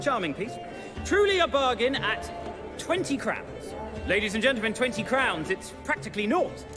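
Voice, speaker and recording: A theatrical game auctioneer with an extremely high male voice that sweeps up and down. He is genteel, effusive and excitable. Music runs behind his patter. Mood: delighted. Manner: showy, effusive, formal.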